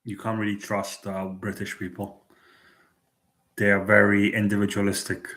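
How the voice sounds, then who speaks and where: A man livestreaming at night, chatting in a hesitant, halting way with many restarts and fillers. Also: on the low side, completely flat delivery, a bit bright, reverberant. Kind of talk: late-night street livestream chat. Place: out at night in a city.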